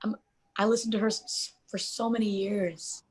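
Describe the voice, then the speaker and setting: A woman's voice: medium pitch, fairly even intonation, normal speed, darkish tone, breathy edge. A woman in her twenties, a jazz singer and interview guest, talking casually with lots of fillers and restarts. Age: 20s. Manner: chatty, many fillers and restarts.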